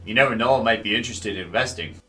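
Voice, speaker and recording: A man acts out an older, hearty ex-military techie dad for an audio drama. He is friendly and quick, with a forceful edge. Music plays along with him. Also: on the low side.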